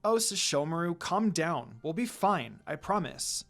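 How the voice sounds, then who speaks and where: A reader voicing a gentle, cheerful young priestess. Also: medium pitch, very animated, unhurried, faint music behind. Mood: soothing, cheerful.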